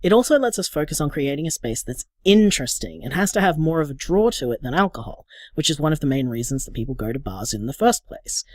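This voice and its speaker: An actor playing a sociable, flashy bar co-owner in a radio drama interview, chatty and upbeat with an Australian accent. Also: male voice, a little high, very animated, quick pace, few pauses, dry recording, studio quality.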